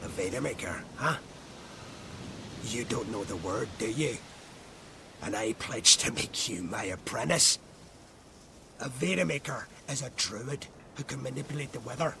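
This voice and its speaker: An actor playing a crotchety, haughty old druid in a video game, with an imperious, condescending manner and whispery phrase endings. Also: male voice, medium pitch, animated, a little slow, gravelly, pauses often, pushed and bright, slight background noise, full character voice. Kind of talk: scripted video game acting.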